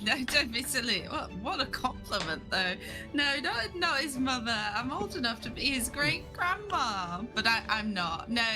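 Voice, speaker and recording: A woman's voice: a little high, low-quality recording. A player voicing a very old woman adventurer who is fond and chatty and calls people darling.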